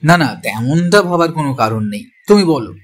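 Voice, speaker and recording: A man's voice: a little high, sing-song, brisk, breathy edge. A man playing a detective's curious companion, telling the story in the first person in an audio drama. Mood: curious, sceptical. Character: detective's male companion narrating, curious and sceptical.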